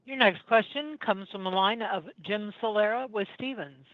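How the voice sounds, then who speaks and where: A female conference operator gives scripted, procedural instructions in a formal manner. Her voice is medium-pitched, and the call audio is slightly dull. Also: moderate pace.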